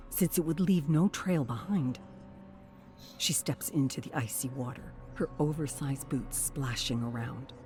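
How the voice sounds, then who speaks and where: Measured dramatic story narrator over music. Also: mid-range voice, darkish tone.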